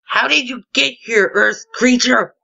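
A woman's voice: fairly high pitch, a little slow, creaky, bright, forceful tone, breathy edge, dull audio, heavily acted. A woman voicing a bossy, exasperated space alien scientist in a cartoon comedy, scolding in stiffly formal, imperious speech. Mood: indignant, commanding. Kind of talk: animated comedy dialogue.

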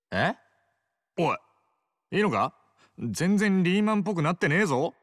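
A male actor voicing a cocky, teasing, loyal young yakuza in a Japanese video game, talking in rough slang. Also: sits high, fairly bright tone, no room sound, studio quality, heavily acted. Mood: amused, warm. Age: young adult.

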